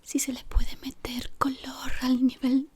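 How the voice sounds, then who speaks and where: A woman doing an ASMR roleplay in a breathy whisper, playing a polite but exacting decorator of an eerie office maze. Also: sits high, completely flat delivery. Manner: slow, deliberate, pausing, softly spoken.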